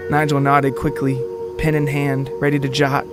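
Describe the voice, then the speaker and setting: A man narrating a scripted comic story in a wordy, deadpan manner. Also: mid-range voice, normal speed, over loud music.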